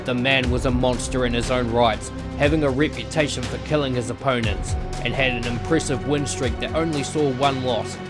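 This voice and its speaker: A man's voice: mid-range voice, fairly even intonation, moderate pace, hardly any pauses. Male narrator with music behind. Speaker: narrator and announcer. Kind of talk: scripted narration for a visual novel.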